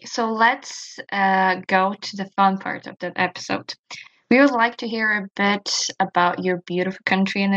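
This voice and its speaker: A woman's voice: mid-range voice, fairly bright tone. A woman hosting an interview, asking friendly questions over a video call. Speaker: interviewer host. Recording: dull lo-fi call audio.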